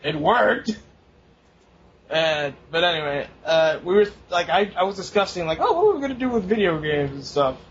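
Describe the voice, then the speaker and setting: A man's voice: sits high, very animated, moderate pace. A young man co-hosting a casual podcast, rambling, swearing and laughing often. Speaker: podcast co-host. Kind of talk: casual chat. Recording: dull lo-fi recording, faint noise.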